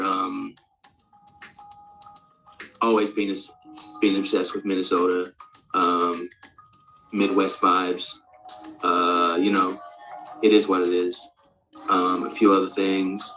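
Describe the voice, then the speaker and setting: A male speaker of around forty from Australia, practising an American accent in a rambling, halting, candid and sweary casual monologue. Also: sits low, bright, forceful tone, rough recording. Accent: American, put on over native Australian. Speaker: actor introducing themself.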